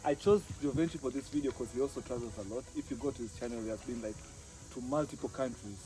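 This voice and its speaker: A man's voice: medium pitch, fast talker, poor audio. A man from Tanzania hosting a casual vlog outdoors by a lake, laid-back and laughing often, with lots of "like" and "man". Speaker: vlog host. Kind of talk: casual chat.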